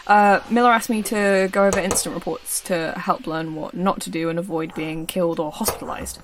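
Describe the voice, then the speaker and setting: A woman's voice: on the low side, fairly even intonation, a little fast, noisy background, close to an everyday voice. A woman playing a confident, observant security operative in an audio drama, with a blunt, dry way of talking. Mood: businesslike. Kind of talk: naturalistic audio drama acting.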